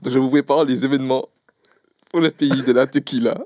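A man's voice: medium pitch, fairly even intonation, a little fast, a little mellow, dry recording, studio quality. A man giving his events segment on a casual radio show, laughing often and tripping over his words.

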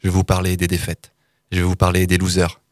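A man's voice: low voice, completely flat delivery, quick pace, darkish tone, dry recording, studio quality. A man in his thirties delivering a scripted humorous column for a podcast in a playful, informal, mock-dramatic way. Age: 30s.

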